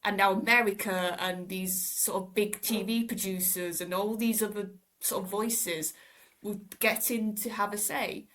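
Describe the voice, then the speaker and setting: Thoughtful woman with a mid-pitched voice. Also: steady tone, unhurried, a bit bright. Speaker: podcast panelist. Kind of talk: casual discussion.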